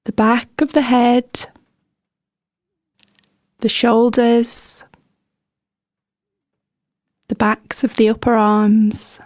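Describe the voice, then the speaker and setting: A woman leading a guided meditation in a gentle, soothing manner, with scripted instructions and unhurried pauses between phrases. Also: fairly high pitch, flat delivery, dry recording.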